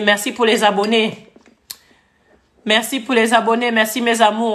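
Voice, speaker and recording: Live-stream host, woman from Gabon; heated scolding rant, echoey room sound. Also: on the high side. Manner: scolding, repetitive, switches to English briefly.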